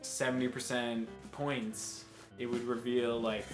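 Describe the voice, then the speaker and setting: A man's voice: mid-range voice, flat delivery, takes it slowly. A young man co-hosting a podcast in a casual, joking, slangy style, often profane and giggly. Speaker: podcast co-host. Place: bedroom, indoors. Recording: roomy echo with faint music behind.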